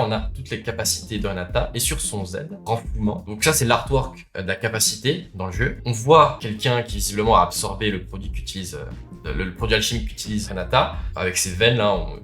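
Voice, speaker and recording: A man's voice: on the low side, a bit bright. A man giving casual, informal and enthusiastic commentary as a video game lore YouTuber. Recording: roomy sound, faint music.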